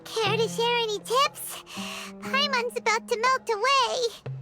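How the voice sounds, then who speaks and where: A woman voicing a tiny, childlike, chatty fairy companion in an anime video game, with squeaky, exclamatory delivery. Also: steady tone, a little slow, music in the background. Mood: weary, complaining. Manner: exclamatory, whiny, speaks of herself in third person.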